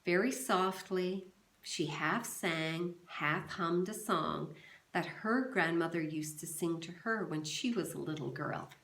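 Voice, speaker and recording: A woman teacher reading a novel aloud to her class in a calm, steady storytelling manner, recorded in a roomy, echoey space. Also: on the low side, takes it slowly. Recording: roomy echo.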